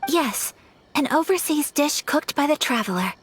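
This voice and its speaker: Refined young noblewoman, formal and gentle, high voice, game cutscene with music. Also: expressive, moderate pace, noisy background. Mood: polite. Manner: formal, polite and soft-spoken.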